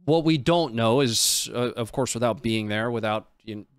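A man's voice: mid-range voice, moderate pace, smooth, no echo. Man with a close, dry studio sound. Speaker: live stream host and analyst. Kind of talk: casual live commentary on footage. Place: small indoor studio. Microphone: close desk microphone on boom arm.

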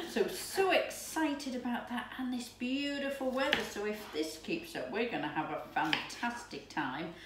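A woman in her fifties chatting excitedly to camera while cooking in her home kitchen. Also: fairly high pitch, unhurried. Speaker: lifestyle vlogger. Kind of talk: casual chat to camera. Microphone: camera's built-in mic from a few steps away. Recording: echoey room, distant mic, background noise.

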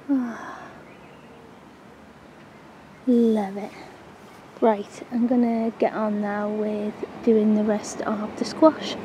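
A woman talks her way around a sunny allotment, slow and unhurried with a mellow, warm tone. Her chat rambles with plenty of ums, recorded on a handheld camera outdoors with a faint hiss behind her. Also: a little high. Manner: chatty, rambling, frequent ums. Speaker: allotment vlogger. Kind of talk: casual gardening vlog narration. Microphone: handheld camera, speaker off screen.

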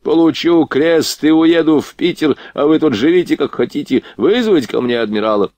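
Arrogant, dissolute grand duke performed by a man, drunk and bullying, pitched up for an audiobook. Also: moderate pace, a little mellow, no room sound, lightly acted. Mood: haughty, irritated. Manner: imperious, contemptuous, bullying. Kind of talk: audiobook character dialogue.

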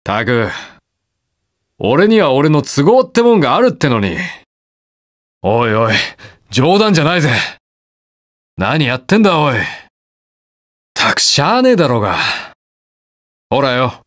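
Gruff masked hero, swinging pitch. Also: male voice, sing-song, unhurried, long pauses, a bit bright, dry recording, studio quality. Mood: grumbling, casual. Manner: curt, brusque, rough casual speech. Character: gruff, reluctant young male masked hero, stubbornly protective. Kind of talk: video game voice lines, battle quips.

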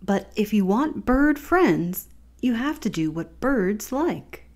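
A woman plays a calm mother capybara in a children's storybook. She speaks slowly and softly, with patient, measured phrasing. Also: mid-range voice, pitched above the performer's own voice. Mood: patient, coaxing.

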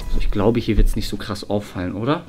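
A man's voice: on the low side, fast talker, over music. A man in his thirties hosting a prank vlog, chatting casually indoors to the camera and his colleagues. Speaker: prank video host. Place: indoor office and studio kitchen.

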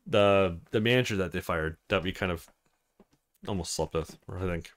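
A man's voice: sits low, moderate pace. A man hosting a let's play, making casual, hesitant thinking-aloud asides about a visual novel.

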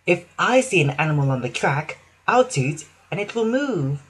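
Storybook: man doing a haughty, lazy lady engine, high falsetto, breathy edge. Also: big pitch swings, moderate pace, a bit bright, full character voice. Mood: smug. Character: vain, fussy female diesel railcar, proud and lazy.

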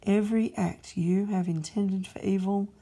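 Woman doing a stern heavenly king, slow and loud, gravelly and dark. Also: mid-range voice, fairly even intonation. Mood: commanding. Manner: commanding, slow and emphatic. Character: booming divine father-king, stern and loving.

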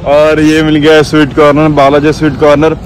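A man's voice: a little high, completely flat delivery, moderate pace. Young man vlogging from a car. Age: young adult. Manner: chatty, addresses viewers directly. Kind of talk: casual travel vlog chat. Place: inside a moving car, later outdoors by a lake. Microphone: handheld phone camera at arm's length. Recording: clear road and car noise behind.

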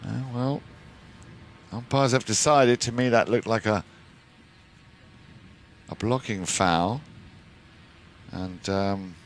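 A man giving live commentary on a polo match, measured and rising with the action. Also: on the low side, slight background noise.

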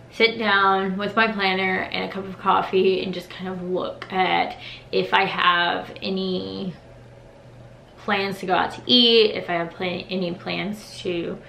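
Slow-talking woman with a gravelly voice. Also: mid-range voice, echoey room, slight background noise. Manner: chatty, relaxed, many ums and likes. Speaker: vlogger. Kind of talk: casual vlog chat to camera. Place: small wood-paneled bedroom, indoors.

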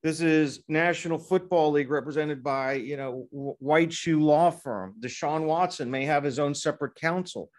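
A man in his fifties co-hosting a casual sports law podcast from a hotel room, talking in a chatty, digressive way and getting animated when he jokes. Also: on the high side, moderate pace, hardly any pauses. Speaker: podcast co-host, sports lawyer. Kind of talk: casual legal podcast chat. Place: hotel room in Midtown Manhattan. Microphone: laptop webcam video call.

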